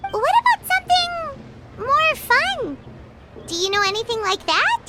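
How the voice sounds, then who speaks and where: A woman voicing a tiny, childlike, cheeky female fairy companion in a video game, squeaky and excitable. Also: measured pace, exaggerated voice. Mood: excited, cheeky. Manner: squeaky, excitable, refers to self by name. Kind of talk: scripted video game cartoon-like acting. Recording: loud music behind the voice.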